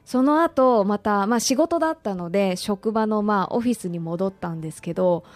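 A woman's voice: medium pitch, normal speed, no echo. A woman talking conversationally as a radio guest, politely and expressively sharing personal recollections.

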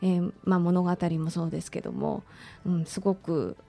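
A woman's voice: on the low side, fairly even intonation, moderate pace, vocal fry, warm tone, soft background music. A woman talking conversationally as a radio guest, politely and expressively sharing personal recollections.

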